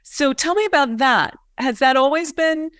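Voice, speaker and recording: A chatty woman host with a somewhat high voice, asking questions in a loose, filler-heavy way. Her sound is dry and close to the mic. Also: normal speed, a bit bright, no echo. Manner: chatty, uses many fillers, asks questions. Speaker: host and coach. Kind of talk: conversational interview.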